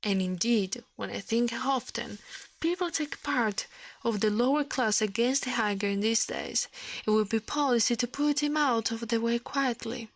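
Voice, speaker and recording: A woman's voice: on the high side, moderate pace. A woman voicing a proud, credulous princess in a storybook, with a gracious, regal manner.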